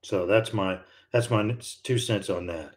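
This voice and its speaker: A man's voice: lowish voice, measured pace. An older American man hosting a live stream, chatting casually and rambling with many fillers and restarts. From United States. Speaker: livestream host, retired pilot.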